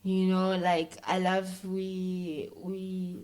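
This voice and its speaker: Low-pitched South African woman, chatty. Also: little pitch movement, drawn-out words, a little mellow. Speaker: interview guest, filmmaker. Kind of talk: casual conversational interview.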